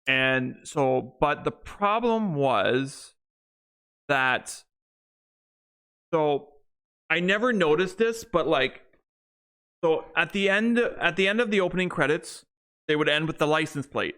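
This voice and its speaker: A man's voice: a little high, expressive, slow pace, pauses often, fairly bright tone, clean tone. A young man co-hosting a podcast, chatting casually and rambling with many restarts and fillers. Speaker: podcast co-host. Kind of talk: casual chat.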